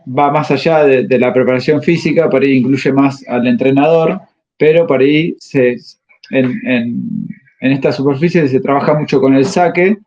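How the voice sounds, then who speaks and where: A man, a tennis coach answering interview questions and pausing often to find his words. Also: mid-range voice, darkish tone. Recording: slightly muffled audio.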